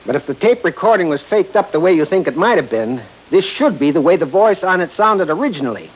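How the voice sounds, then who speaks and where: An actor playing a careful, tentative electronics engineer in an old-time radio drama. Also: male voice, fairly high pitch, animated, fast talker. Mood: careful, explanatory. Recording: dull old radio recording.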